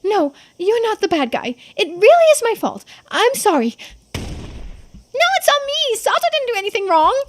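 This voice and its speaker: A woman's voice: very high-pitched, big pitch swings, measured pace, slight background noise, voice pushed up, heavily acted. A woman voicing an earnest, eager youngster in video game dialogue, emphatic and emotionally open. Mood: distressed, guilty. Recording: game music behind the voice.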